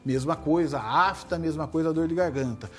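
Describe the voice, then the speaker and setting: A middle-aged Brazilian man, a surgeon guest, explaining in a measured way and often tagging his points with "né?". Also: on the high side, faint music behind. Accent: Brazilian Portuguese. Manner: measured, tag questions like "né?". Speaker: head and neck surgeon guest. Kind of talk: explanatory interview answers.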